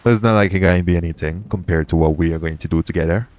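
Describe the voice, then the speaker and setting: Man playing deep-voiced, coaxing pirate captain. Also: racing, darkish tone, no echo, close to an everyday voice. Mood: persuasive. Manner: coaxing, self-assured, conversational. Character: shady, smooth-talking male pirate captain, roguish and persuasive. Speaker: audio roleplay voice actor. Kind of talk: second-person audio roleplay acting.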